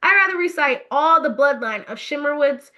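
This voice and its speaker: A woman's voice: high-pitched, animated, normal speed, sharp tone, highs cut off, voice pushed up. A woman voicing a reluctant young man who complains dramatically, in storybook dialogue. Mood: exasperated. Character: grumbling, reluctant young man dreading meetings.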